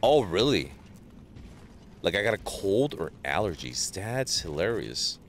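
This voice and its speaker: A man streaming a game live, chatting casually with viewers and greeting them by name, sounding a little stuffy from allergies, on a boom mic. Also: unhurried, slight background noise. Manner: greets chat viewers, relaxed banter. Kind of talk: casual live gameplay chat.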